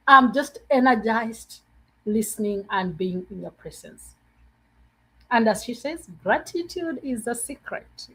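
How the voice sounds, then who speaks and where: A woman hosting a group motivational talk in an expressive, effusive manner. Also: medium pitch, husky, poor audio. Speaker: host of a group online meeting.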